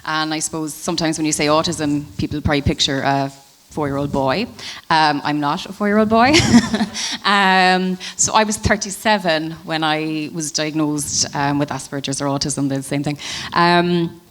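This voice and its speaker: Low-voiced woman on a panel. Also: steady tone. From Ireland. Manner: thoughtful, frequent ums, measured. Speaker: panel guest. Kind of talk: panel discussion.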